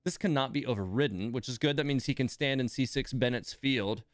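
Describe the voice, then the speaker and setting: A man's voice: mid-range voice, a little fast, no echo, clean studio sound. A man hosting a casual gaming livestream, thinking aloud as he reads and comments on game details. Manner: thinking aloud, reading game text with asides. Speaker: livestream host.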